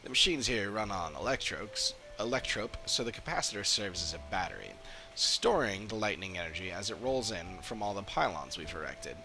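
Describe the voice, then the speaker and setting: A man's voice: fairly low pitch, animated, normal speed, pushed and bright, exaggerated voice. A man voicing a proud, eager machinery enthusiast from a game, explaining things chattily as he reads the dialogue. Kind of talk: reading game dialogue in character. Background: game music.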